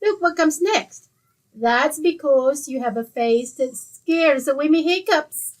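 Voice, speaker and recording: Radio comedy neighbour played by a woman: middle-aged, feisty, indignant gossip with a high voice. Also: expressive, slow. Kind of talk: old-time radio comedy acting.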